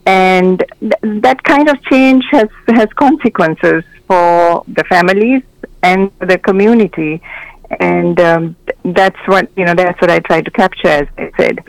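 Gravelly older Sri woman. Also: lowish voice, dry recording, low-quality recording. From Sri Lanka. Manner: measured, explanatory, with small restarts. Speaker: guest, memoir author and mental health counselor. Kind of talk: reflective interview storytelling.

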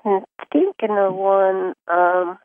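A woman chatting casually and hesitantly on a conference call, musing with long pauses, over a telephone line. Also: medium pitch, steady tone, no room sound. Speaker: regular guest on a call show. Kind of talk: casual chat. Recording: narrow, muffled telephone line.

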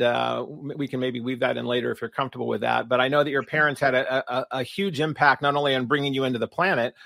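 A man's voice: medium pitch, fairly bright tone, no room sound. Dry-sounding male podcast host. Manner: rambling questions with restarts and fillers. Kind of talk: podcast interview.

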